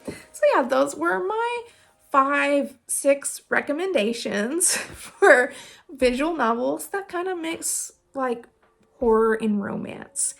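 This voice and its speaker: A woman's voice: sits high, lively intonation, slow pace, creaky, a bit bright. A woman hosting a casual recommendation vlog, talking in an informal, rambling, self-deprecating way with a giggly manner. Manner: giggly, laughs often while talking. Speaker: video host giving game recommendations. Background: music playing under the voice.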